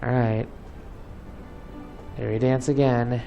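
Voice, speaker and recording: A man's voice: medium pitch, level tone, slow pace, gravelly, highs cut off. A man giving casual, sarcastic and grumbling commentary as he plays a video game. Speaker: video game let's player. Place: voice-over over gameplay, off camera. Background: game music.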